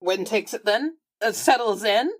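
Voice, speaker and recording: A woman's voice: mid-range voice, expressive, measured pace. Woman casually narrating her game character. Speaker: player in a tabletop roleplaying game. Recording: music playing behind the voice. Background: background music.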